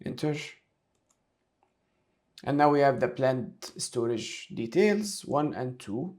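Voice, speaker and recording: A man giving a step-by-step software tutorial, talking through each action aloud as an instructor. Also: mid-range voice, animated, slow pace. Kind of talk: screen-share tutorial, explaining step by step.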